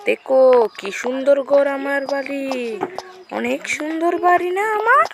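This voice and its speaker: A woman's voice: sits high, animated, moderate pace, gravelly. A woman giving casual video game commentary, narrating each of her actions in short, repetitive phrases. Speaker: gamer presenting a gameplay video. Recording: noisy recording with vehicle and siren sounds.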